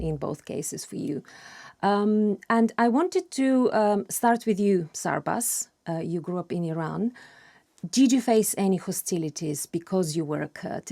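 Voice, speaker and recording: Low-voiced woman hosting an interview. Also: creaky, mellow. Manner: conversational, some fillers. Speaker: interview host.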